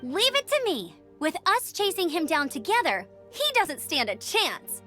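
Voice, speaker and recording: An eager young knight scout from a video game, speaks in a high, very lively voice. She is self-assured and determined, with light music playing behind her. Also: measured pace, full character voice. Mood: confident, eager. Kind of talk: scripted video game voice acting.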